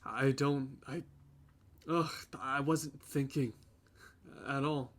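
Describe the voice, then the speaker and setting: An actor plays a young man caught cheating in an audio drama. His voice sits at an ordinary middle pitch. He speaks haltingly, swinging between guilty pleading and defensiveness. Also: steady tone, lightly acted. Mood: remorseful.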